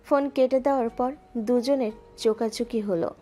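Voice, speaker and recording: Female narrator, slightly high, calm even read, soft music under. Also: moderate pace. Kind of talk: scripted story narration.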